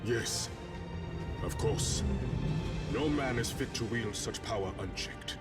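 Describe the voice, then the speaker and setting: A man playing a solemn, resolute warrior king in a video game, speaking in a measured, regal manner. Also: mid-range voice, close to an everyday voice. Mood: grave, wise. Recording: distant voice, music behind.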